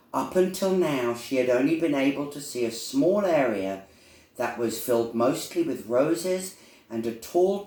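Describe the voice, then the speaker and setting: A man narrating a children's storybook in a deliberate, expressive storytelling manner, recorded in an echoey room. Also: medium pitch. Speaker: storyteller reading a children's book.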